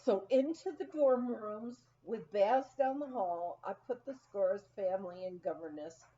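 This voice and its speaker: A woman reading a humorous memoir aloud as scripted narration, in an expressive, storytelling manner. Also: medium pitch, muffled recording. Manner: expressive, unhurried storytelling with acted quotes. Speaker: audiobook reader.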